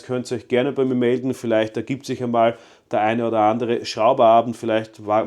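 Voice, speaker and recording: A man's voice: medium pitch, a little mellow. A man with an Austrian accent talking casually to his viewers as a motorcycle vlogger, enthusiastic and rambling with fillers. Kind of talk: casual vlog talk to viewers.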